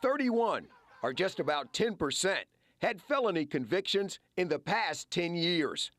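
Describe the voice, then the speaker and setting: A man's voice: sits high, very animated, measured pace, fairly bright tone, dry recording. An American man narrating a scripted investigative television news report.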